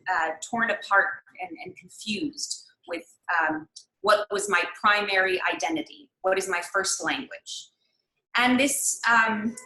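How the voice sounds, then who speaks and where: Woman workshop leader, bright and clear, reflective and unhurried with lots of pauses; video-call audio in a roomy space. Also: lowish voice. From United States. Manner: reflective, searching, with frequent pauses and fillers. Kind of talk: online master class talk. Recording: echoey room.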